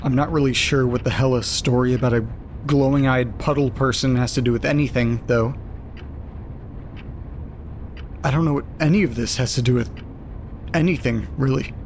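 A man's voice: mid-range voice, steady tone, fairly quick, a little hiss or hum, lightly acted. An actor playing a bewildered, sardonic, sweary man alone at night, muttering hesitantly in an audio drama monologue. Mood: puzzled. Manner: hesitant, muttering, dryly sarcastic. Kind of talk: audio drama monologue, recorded-diary delivery.

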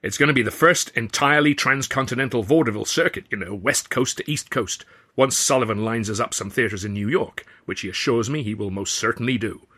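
A man voicing a brash, cigar-chomping American theatre boss who booms bluffly and growls when crossed. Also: fairly quick, sharp tone. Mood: hearty.

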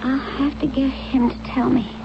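A woman's voice: high-pitched, flat delivery, moderate pace. An actress playing a fragile, forgetful wife in a radio drama, hesitant and faltering, childlike in her simplicity. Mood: dazed, wistful. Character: fragile, confused wife in hospital, tearful and forgetful. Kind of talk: 1970s radio drama acting. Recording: dull, noisy old radio broadcast.

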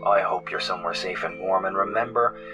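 A low, ominous male broadcaster, speaks to survivors through a radio effect. Music plays behind his solemn horror audio drama delivery. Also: a little fast. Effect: radio static effect.

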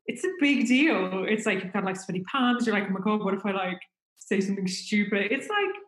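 A woman's voice: mid-range voice, brisk, reverberant. A woman with an Irish accent chatting casually and at length, with plenty of fillers. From Ireland. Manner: chatty, rambling with many fillers. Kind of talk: casual chat.

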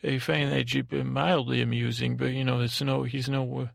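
Very gravelly, rambling male podcast host. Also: mid-range voice, level tone, dry recording, studio quality. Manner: meandering, halting, circling back on phrases. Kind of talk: rambling, absurdist bedtime monologue.

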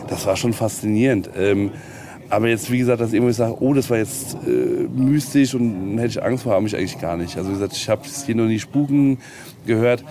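A man's voice: fairly low pitch, brisk, creaky, mellow. A man being interviewed casually, talking reflectively and often searching for his words.

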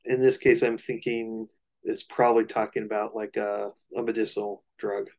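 Low-voiced man thinking aloud. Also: little pitch movement, vocal fry, darkish tone. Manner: halting, thinking aloud, analytical. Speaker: co-host of a music discussion show. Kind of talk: casual album discussion.

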